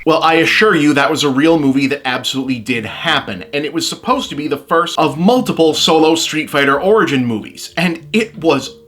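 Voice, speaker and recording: A man's voice: a little high, lively intonation, a little fast, hardly any pauses, a bit bright. Guy doing a video essay, chatty and wry, scripted but goes off on little asides. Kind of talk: scripted commentary with casual asides.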